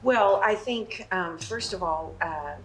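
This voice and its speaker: A woman explaining as a theatre director in an interview, in a halting way. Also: medium pitch. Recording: distant mic, faint background noise.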